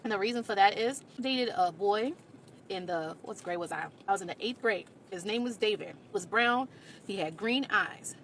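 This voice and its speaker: A woman's voice: medium pitch, moderate pace, a bit bright. A woman telling personal stories in a candid, blunt and emphatic way. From Caribbean. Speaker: video creator. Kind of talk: candid personal storytelling to camera. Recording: faint background noise.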